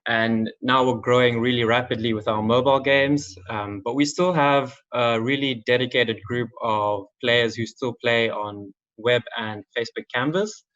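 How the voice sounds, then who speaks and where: A man's voice: medium pitch, steady tone, moderate pace, hardly any pauses, a bit bright. A young man from Zimbabwe giving an online conference talk as a games marketing manager, in a casual style with many ums and likes. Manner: casual, filler-heavy delivery with frequent "um" and "like". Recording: slightly dull lo-fi audio.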